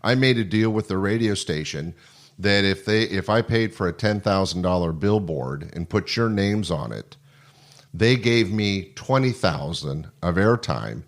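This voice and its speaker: A man's voice: low voice, lively intonation, a little slow. A middle-aged or older American man hosting a casual, conversational interview podcast.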